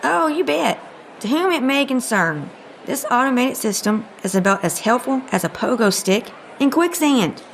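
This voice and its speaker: A woman's voice: sits high, lively intonation, moderate pace, vocal fry, a little hiss or hum. An exasperated, sardonic lady agent. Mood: sarcastic. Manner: dry, sarcastic, folksy scolding. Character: exasperated, sardonic woman agent, short on patience. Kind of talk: comedic audio drama acting.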